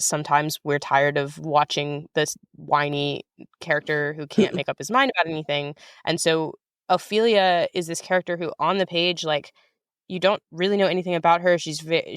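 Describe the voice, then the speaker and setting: A woman's voice: sits low, steady tone, moderate pace, smooth, no echo. Woman thinking aloud in a dry-sounding interview. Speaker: interview guest, writer of audio fiction. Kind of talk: casual, thoughtful interview talk.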